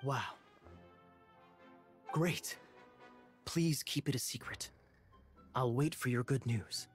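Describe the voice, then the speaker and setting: A man voicing a sly young knight captain who speaks in a smooth, theatrical, playfully mocking way. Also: mid-range voice, slow pace, faint music behind, close to an everyday voice. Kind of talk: game cutscene dialogue.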